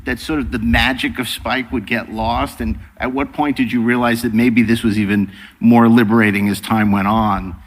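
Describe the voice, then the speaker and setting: A man's voice: medium pitch, steady tone, moderate pace, few pauses. Male interviewer in a reverberant hall. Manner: long, wordy questions. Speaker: interviewer, podcast host. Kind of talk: conversational interview questioning. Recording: long reverberant hall sound.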